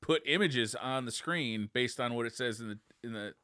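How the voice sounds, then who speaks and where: A man's voice: mid-range voice, moderate pace, pushed and bright, dry recording, clean studio sound. A man co-hosting a podcast in a casual, conversational style. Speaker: podcast co-host.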